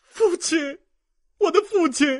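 A man voicing a distraught young woman in an audiobook, a devoted daughter pleading emotionally in a husky, tearful voice. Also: extremely high pitch, a little slow, pitched above the performer's own voice. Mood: desperate pleading. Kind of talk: dramatized audiobook reading.

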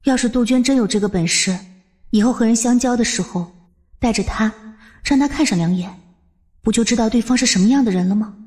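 A woman playing a confident, curious young mistress of a household in an audiobook drama, composed and gently teasing. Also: on the high side, brisk, breathy edge, dry recording, studio quality. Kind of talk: Chinese audiobook drama acting.

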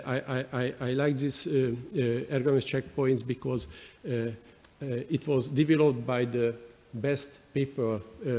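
Halting Hungarian man at a lectern. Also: medium pitch, darkish tone. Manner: halting, many fillers and repeated words. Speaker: panel speaker. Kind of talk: conference panel answer. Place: large wood-panelled conference hall. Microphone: lectern microphone. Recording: long hall reverb.

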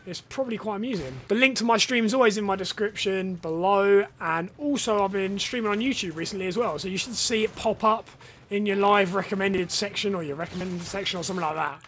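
A young man with a hoarse, partly lost voice, chatting casually over gameplay on a headset and swearing at the game. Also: high voice, fairly quick, a bit bright, slight background noise. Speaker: gaming YouTuber and rugby coach. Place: home room, indoors.

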